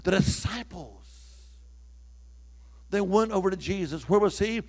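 A man's voice: high-pitched, expressive, measured pace. A man preaching a sermon to a church, calling out to the congregation for responses and building to emphatic peaks. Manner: call-and-response preaching, rising to emphatic shouts.

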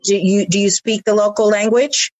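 A woman's voice: medium pitch, fairly even intonation, moderate pace, darkish tone, dull audio. A woman hosting an interview, asking questions and responding often with short affirmations. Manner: engaged, frequent short affirmations. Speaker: interview host. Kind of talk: conversational interview.

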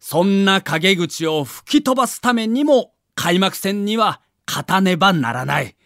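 A man's voice: sits high, lively intonation, a little slow, fairly bright tone, no echo, studio quality. A man narrating a scripted documentary as a story, with dramatic emphasis.

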